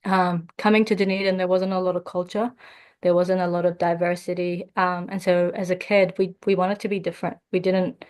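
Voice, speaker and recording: Soft-spoken woman with a flat, even delivery. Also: on the low side, smooth. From Jordan. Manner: thoughtful, frequent um fillers, measured. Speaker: guest. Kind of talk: reflective podcast conversation.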